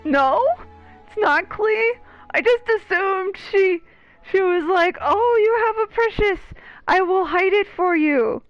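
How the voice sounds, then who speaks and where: Woman gamer chatting slowly over her game, highish voice, bit muffled. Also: lively intonation, dry recording. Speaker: gaming streamer. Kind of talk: casual game commentary, reading dialogue aloud. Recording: dull, muffled mic over game music. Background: game music.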